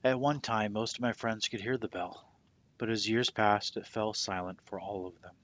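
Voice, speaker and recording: A man reading a children's story aloud expressively in a husky, breathy voice, with a hushed, dramatic and deliberate delivery. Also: on the low side, fairly even intonation, muffled recording. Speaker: storybook reader.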